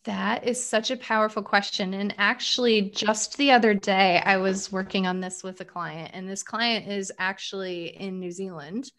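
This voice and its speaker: A woman therapist guest in an interview, explaining coping methods in a lively, animated way. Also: mid-range voice, normal speed, hardly any pauses. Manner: animated, explanatory, acts out quoted thoughts. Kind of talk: interview conversation.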